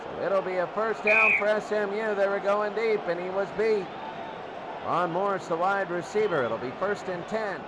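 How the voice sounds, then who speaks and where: A man's voice: high voice, moderate pace. A man doing live play-by-play American football commentary in short clipped phrases, repeating his calls as he follows the play. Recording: noisy recording with loud crowd din. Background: loud crowd noise.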